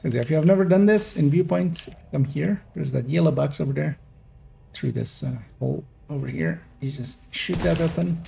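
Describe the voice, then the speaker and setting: A man streaming a game, rambling through relaxed explanations in a gravelly, dark voice. He is from Iran, and his accent mixes Iranian, American and Dutch English, with faint noise in the background. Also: on the high side, normal speed. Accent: Iranian mixed with American and Dutch English. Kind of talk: casual gameplay commentary.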